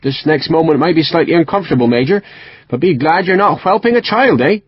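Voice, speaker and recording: A man's voice: on the high side, animated, fairly quick, breathy edge, no echo, muffled recording, voice pushed up. A man doing a hearty West Country army surgeon. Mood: cheerful. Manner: hearty, brusque. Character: cheerful, brusque male army surgeon chewing a cigar.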